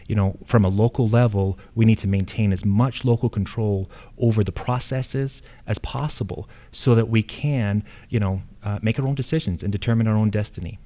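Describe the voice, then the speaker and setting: A man with an American accent answering as a candidate at a forum, speaking earnestly and emphatically with halting phrasing. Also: lowish voice, dark tone. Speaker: incumbent county assessor candidate.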